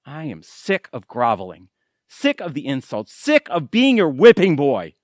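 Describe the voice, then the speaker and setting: A man's voice: a little high, huge pitch swings, unhurried, no room sound, studio quality. A man acting in an audio drama as a wounded, sarcastic middle-aged husband who feels pushed around. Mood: bitter, fed up. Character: aggrieved, sarcastic middle-aged husband, former Vegas performer.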